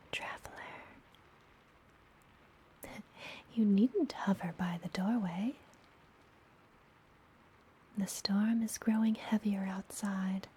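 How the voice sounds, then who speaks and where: A woman playing a friendly, mysterious witch and healer in an ASMR fantasy roleplay, whispering softly and gently with a teasing manner. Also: medium pitch, low-quality recording. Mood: welcoming, concerned. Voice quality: soft, breathy whisper. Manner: gentle, slow, playfully teasing.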